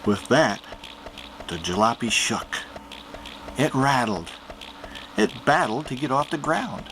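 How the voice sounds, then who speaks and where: A man narrating a children's picture book aloud in an animated storytelling manner. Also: mid-range voice, slow, slight background noise, low-quality recording.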